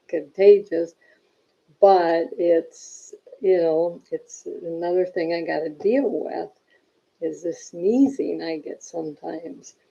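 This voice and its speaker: A woman giving viewers a chatty health update, her voice weak and raspy from a weak diaphragm and full of "okay?" check-ins. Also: on the low side, dark tone, dull audio. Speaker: vlogger.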